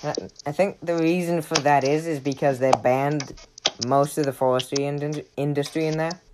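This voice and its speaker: A young man with a Maine accent hosts a casual chat with friends. He rambles, cracks jokes and laughs often. There is a clear hiss of noise under the whole home recording. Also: on the high side, steady tone, moderate pace. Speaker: podcast host.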